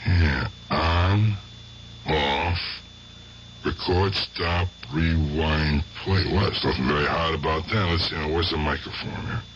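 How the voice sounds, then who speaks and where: Old american mobster in a radio play, rough halting talk, slow, muffled noisy old recording. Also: male voice, a bass voice, a bit bright, exaggerated voice. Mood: halting, puzzled. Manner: rough colloquial speech, halting, muttering to himself. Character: aging guilt-tormented gangster, gruff but fond uncle. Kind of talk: radio drama acting.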